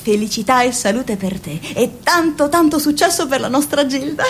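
A woman's voice: sits high, normal speed, slight background noise, close to an everyday voice. An actress playing a fussy, doting mother who flutters and stammers. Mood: tender. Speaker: radio drama actor. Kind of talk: radio play acting.

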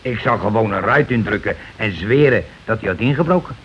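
Pushy scheming husband in an old radio play, blunt, colloquial, bit muffled and hissy. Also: male voice, on the low side, animated, brisk, fairly bright tone. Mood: grumbling. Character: bossy, calculating working-class husband dodging trouble. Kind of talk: Dutch radio play acting. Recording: dull, noisy old radio recording.

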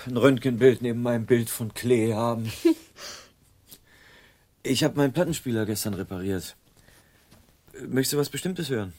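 An actor playing an eager, slightly naive suitor, casual and tentative, in a radio drama. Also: male voice, medium pitch, normal speed, long pauses, darkish tone, lightly acted. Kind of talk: German radio drama acting.

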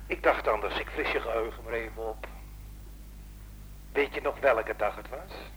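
A man's voice: medium pitch, moderate pace, fairly bright tone, natural voice. A man acting in a radio play as a bitter, menacing caller who taunts and insists. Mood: menacing, taunting. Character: menacing, bitter man tormenting an old acquaintance by phone. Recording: noisy radio play recording, telephone-like.

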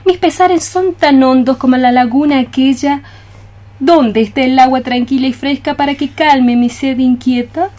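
An actress playing a lovesick young maiden cockroach, lyrical and declamatory in a poetic radio play. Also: female voice, high-pitched, normal speed, darkish tone, close to an everyday voice. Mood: anguished. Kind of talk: poetic radio theatre acting.

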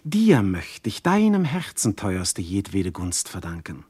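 An actor playing an ardent, jealous god posing as a husband in a verse radio drama, declamatory and intimate. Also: male voice, low voice, wildly swooping pitch, a little slow, slightly rough, dry recording, full character voice. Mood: tender, insistent. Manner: declamatory, intimate, sudden hushed phrases.

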